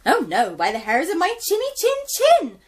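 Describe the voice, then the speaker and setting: Smug little piglet, voiced by a woman, lively and quick. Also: very high voice, noisy background, heavily acted.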